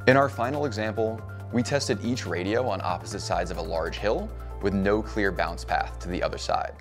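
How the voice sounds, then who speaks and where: Low-voiced man explaining radio range. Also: music in the background. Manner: clear, measured explainer. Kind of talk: scripted educational narration. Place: indoor cabin-style studio.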